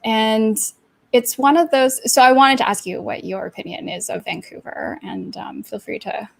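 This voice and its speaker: A woman's voice: a little high, moderate pace, gravelly. A woman hosting a casual chat, feeling her way toward questions in hesitant, roundabout phrasing. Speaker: interviewer, talk host.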